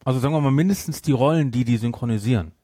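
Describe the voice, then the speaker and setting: A man's voice: medium pitch, very fast, mellow, dry recording, clean studio sound. A man co-hosting a podcast in casual chat, often breaking off and correcting himself mid-sentence. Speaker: podcast co-host. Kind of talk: casual chat about audio dramas.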